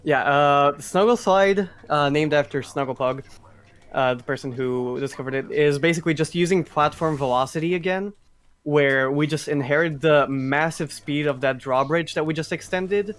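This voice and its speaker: Higher-voiced man doing casual game commentary. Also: normal speed, a bit bright, smooth. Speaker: speedrun commentator.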